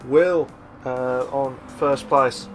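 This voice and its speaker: A young man doing live sim racing commentary, excitable and repetitive, with a voice he says he is losing. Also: medium pitch, drawn-out words, slight background noise. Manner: excitable, repeats himself, shouts at big moves. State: losing his voice.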